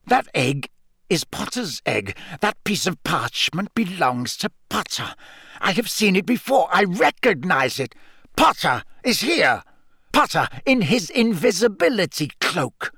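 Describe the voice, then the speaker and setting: A man's voice: high voice, big pitch swings, measured pace, creaky, sharp tone, slightly rough, no echo, clean studio sound, pitched above the performer's own voice, full character voice. A man voicing a cold, suspicious male professor for an audiobook, menacing and curt, with clenched, hissing words. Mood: accusing. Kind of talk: audiobook character voice.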